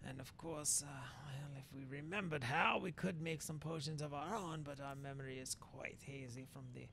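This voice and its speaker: A man roleplaying a hazy-minded dark elf shaman in a video game, muttering and rambling hesitantly as he muses aloud. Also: fairly high pitch, normal speed, gravelly. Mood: musing, muttering. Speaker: player roleplaying his game character. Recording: noisy background with game ambience.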